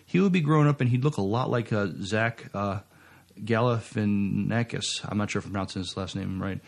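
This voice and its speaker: A man co-hosting a casual podcast, talking in a halting, hesitant way. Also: on the low side, gravelly. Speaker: podcast co-host.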